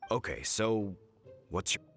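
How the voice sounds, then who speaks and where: A man's voice: lowish voice, expressive, slow, fairly bright tone, faint music behind, full character voice. A man playing a friendly, earnest, slightly baffled young townsman in an anime video game, speaking expressively. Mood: doubtful.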